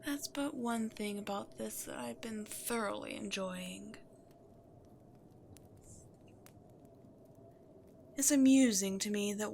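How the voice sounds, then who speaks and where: A woman's voice: mid-range voice, a little hiss or hum. A woman voicing an imperious, seductive demon queen in a scripted audio roleplay, theatrical and haughty, addressing the listener directly. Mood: seductive gloating. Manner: theatrical, breathy asides, haughty. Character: imperious demon queen, seductive, gloating, easily exasperated villain.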